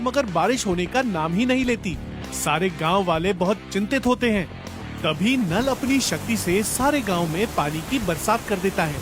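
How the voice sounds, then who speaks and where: A man narrating a scripted moral story for children as a storyteller. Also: high-pitched.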